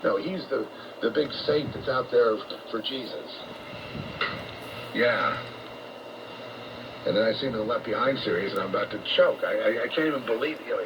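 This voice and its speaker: An American man preaching on shortwave radio in a blunt, confrontational, profane rant, halting and repeating himself. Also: mid-range voice. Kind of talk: unscripted ranting sermon. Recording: noisy muffled shortwave radio broadcast.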